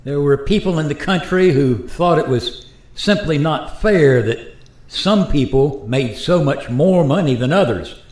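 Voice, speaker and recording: A man's voice: fairly high pitch, animated, unhurried, a little mellow. A man playing a sheepish, resigned modern American in a radio play, explaining things hesitantly and apologetically. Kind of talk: amateur radio play acting. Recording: roomy, echoey voice recording.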